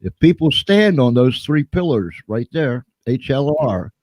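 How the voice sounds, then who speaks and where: A man hosting a live interview show in an upbeat, energetic manner. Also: mid-range voice, a little slow, no echo. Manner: upbeat, energetic host patter. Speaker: show host.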